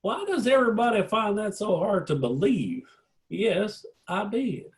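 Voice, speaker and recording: Man playing a high-pitched, touchy cowboy balladeer. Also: sing-song, a little slow. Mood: indignant. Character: proud, touchy cowboy balladeer in a fantasy western. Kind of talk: screenplay table read.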